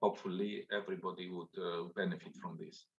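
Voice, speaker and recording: Slow, halting older man on a dull call. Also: sits low, little pitch movement, creaky. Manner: slow, halting, with many ums and restarts. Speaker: panel guest. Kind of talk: conference panel talk. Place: home room, indoors. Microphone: video call. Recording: dull lo-fi video call audio.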